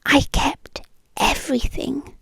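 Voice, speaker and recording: A British woman playing a possessive, eerily calm yandere ex-girlfriend in an intimate, partly whispered ASMR roleplay, cooing slowly and condescendingly with soft menace. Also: no room sound, natural voice. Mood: insistent, bitter. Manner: slow, deliberate, softly menacing, condescending. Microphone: close microphone, dry.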